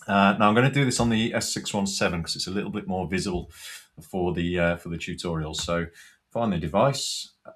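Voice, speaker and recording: A man's voice: low voice, fairly bright tone. A man giving an informal, unscripted product tutorial, explaining things step by step. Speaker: product demonstrator.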